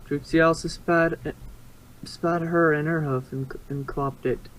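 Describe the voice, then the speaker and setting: A man's voice: fairly high pitch, fairly even intonation, measured pace, a bit bright, poor audio. A man narrating a story in an amateur reading, stumbling now and then and rereading phrases.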